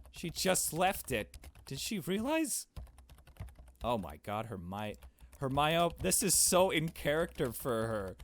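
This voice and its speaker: A man reading a visual novel aloud in an expressive, chatty, theatrical way, breaking off to react to it. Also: fairly low pitch, a little hiss or hum. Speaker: gameplay narrator.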